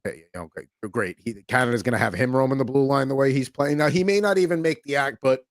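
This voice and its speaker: A man's voice: medium pitch, fast. An American man hosting a hockey podcast in casual chat, rambling with lots of fillers. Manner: rambling, filler-heavy, easygoing. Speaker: podcast host.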